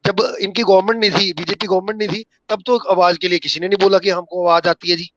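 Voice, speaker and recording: Punjabi indian guy on a call, kinda high voice, respectful political chat, lo-fi sound. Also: little pitch movement, fast talker, fairly bright tone, no room sound. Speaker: caller. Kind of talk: casual political discussion.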